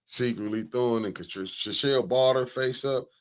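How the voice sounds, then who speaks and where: A man's voice: mid-range voice, a little fast. A man co-hosting a casual morning talk show, telling gossip animatedly and acting out what others said. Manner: animated storytelling, quoting others in voices. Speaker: co-host.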